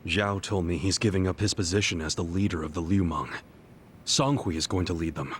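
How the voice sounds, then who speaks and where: A man's voice: sits low, brisk, slight background noise, close to an everyday voice. A man voicing an easygoing, teasing hero. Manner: casual, joking. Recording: game audio with music behind.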